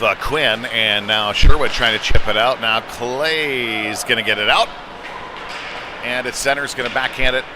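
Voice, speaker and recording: A man calls a hockey game live as the play unfolds. He speaks in short, clipped fragments at a medium pitch. Clear venue noise runs behind his voice. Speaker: play-by-play commentator. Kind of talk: live youth hockey commentary. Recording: noisy rink, clear background noise.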